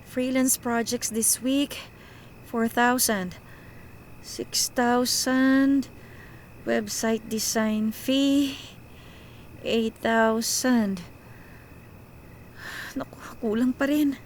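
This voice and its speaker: High-voiced, overworked young Filipino daughter. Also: female voice, steady tone, very slow, long pauses, slight background noise. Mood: stressed, worried. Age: young adult. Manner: talks to herself, code-switches into English. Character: overworked young breadwinner daughter, anxious, self-sacrificing. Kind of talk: radio drama acting.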